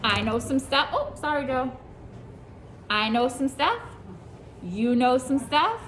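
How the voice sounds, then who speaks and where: A woman teacher reads a picture book aloud to young children, pausing to ask them questions and praise their answers. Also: high-pitched, big pitch swings, fairly bright tone, slight background noise. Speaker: preschool teacher.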